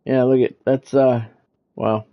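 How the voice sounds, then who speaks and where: A man's voice: medium pitch, flat delivery, a little slow. Guy streaming with sports announcer style calls n casual chat, bit muffled, music in the back. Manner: announcer-like, clipped play calls. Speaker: game streamer calling play-by-play. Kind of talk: sports commentary mixed with casual livestream chat.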